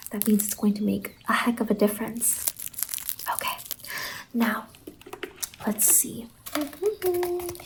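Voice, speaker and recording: Soft high-pitched woman doing ASMR roleplay. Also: background noise. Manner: soft-spoken, often slipping into whisper, soothing and reassuring. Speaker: ASMR roleplay performer playing a salon worker.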